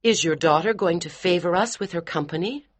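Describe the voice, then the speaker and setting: A man's voice: a little high, very animated, normal speed, gravelly, fairly bright tone, pitched above the performer's own voice, full character voice. Icy, proper society hostess, as the narrator plays her: sarcastic and disapproving. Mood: cold. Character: cold, proper society hostess, middle-aged woman.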